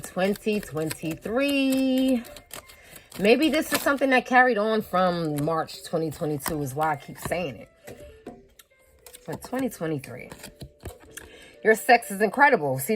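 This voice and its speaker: A woman's voice: mid-range voice, expressive, moderate pace, slight background noise. A woman giving a casual tarot reading in a frank, blunt way. She swears freely, laughs in asides, repeats phrases for emphasis and sniffles from a slight head cold. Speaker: tarot reader.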